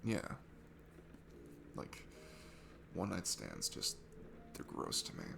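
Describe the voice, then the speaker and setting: A man's voice: deep voice, wildly swooping pitch, slow pace, creaky, noisy background, full character voice. A young man playing a shy, nervous, sweet guy at a bar in an ASMR roleplay, talking in a hesitant, rambling, self-deprecating way. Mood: earnest, open. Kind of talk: ASMR roleplay, one-sided intimate conversation.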